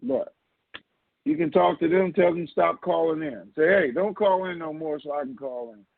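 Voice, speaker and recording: A man's voice: a little high, normal speed, gravelly, no room sound. A man co-hosting a casual call-in, explaining answers in a blunt, conversational way with emphatic repetition. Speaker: co-host answering listener questions. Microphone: telephone. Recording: narrow, lo-fi phone line.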